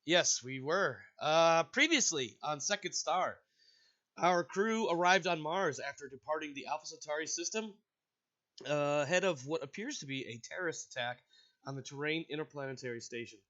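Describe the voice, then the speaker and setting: Game master, man, congested, rambling host, forceful tone, lo-fi chat sound. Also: a little high, moderate pace. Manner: rambling, casual, with frequent restarts. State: stuffy and congested. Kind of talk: hosting and narrating a tabletop game. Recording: dull, lo-fi voice chat audio.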